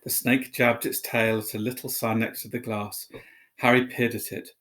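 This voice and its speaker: A man's voice: mid-range voice, level tone, normal speed, a bit bright. A man telling a story, reading aloud expressively as a narrator.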